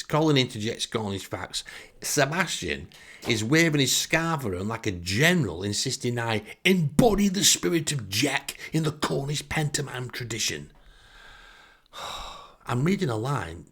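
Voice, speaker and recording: A man's voice: medium pitch, big pitch swings, normal speed, fairly bright tone, breathy edge. A man telling a first-person story in a casual, sardonic, self-deprecating way. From northern England. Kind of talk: wry first-person anecdote, casual narration.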